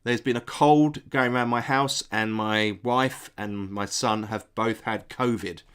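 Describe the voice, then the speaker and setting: A man's voice: lowish voice, steady tone, measured pace, fairly bright tone, smooth. A middle-aged man with a stuffy nose from a cold, hosting a casual live stream, answering chat in a hesitant, friendly way. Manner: hesitant, many ums and restarts, friendly toward viewers.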